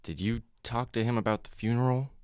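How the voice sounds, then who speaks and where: An actor playing a skeptical, protective husband who is blunt but supportive, in a naturalistic radio drama. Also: male voice, medium pitch, fairly quick, darkish tone, smooth, no echo, clean studio sound, close to an everyday voice.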